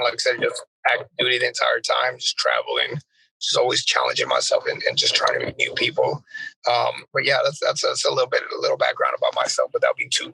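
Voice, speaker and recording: A man in his thirties with a raspy, worn voice, telling his background in a halting, casual way with many restarts. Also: mid-range voice, no echo, low-quality recording. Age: 30s. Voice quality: raspy, strained from drill yelling. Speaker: guest. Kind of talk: casual interview chat.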